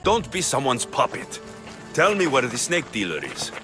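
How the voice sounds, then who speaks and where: An actor playing a young, steady, dry-witted mercenary hero in a video game. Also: male voice, medium pitch, normal speed. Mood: stern. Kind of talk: video game dialogue acting. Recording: music under the voice.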